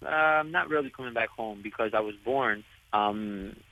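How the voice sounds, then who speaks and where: A young man, a soccer player whose first language is Italian, answering interview questions softly while searching for his words. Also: fairly low pitch, normal speed. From United States. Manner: soft-spoken, searching for words. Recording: tinny dry phone line.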